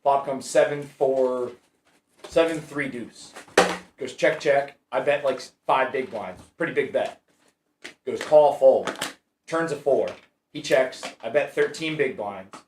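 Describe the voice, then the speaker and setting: A man with a mid-range voice tells a hand-by-hand story in a quick, frustrated way. He sits well back from the mic, and the room echoes around him. A faint hiss sits underneath. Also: mid-range voice. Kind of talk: casual chat, telling a poker story. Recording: distant, roomy pickup.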